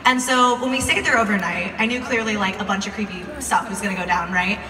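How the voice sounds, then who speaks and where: A woman's voice: mid-range voice, steady tone, quick pace, a crowd in the background. A young American woman tells a story casually to a live audience, chatty and informal, recorded from a distance in a large room. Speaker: video creator telling a story to a live audience. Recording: echoey hall, distant mic, some noise.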